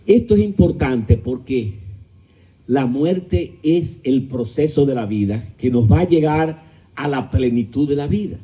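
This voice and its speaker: A middle-aged man lecturing a live audience on philosophy. His voice is very dark and mellow, with middling pitch. He leans into words with shifting pitch and loudness, tosses out rhetorical questions and drops in a joke now and then. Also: lively intonation, a little slow, poor audio. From Dominican Republic. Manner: emphatic, shifting pitch and volume. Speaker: speaker at a discussion gathering.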